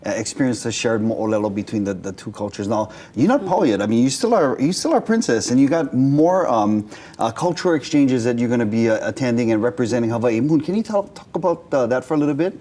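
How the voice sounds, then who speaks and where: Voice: mid-range voice, animated, fast, warm tone. A TV show host opening the segment and asking questions with a bubbly, playful, laughing manner. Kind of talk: TV show hosting.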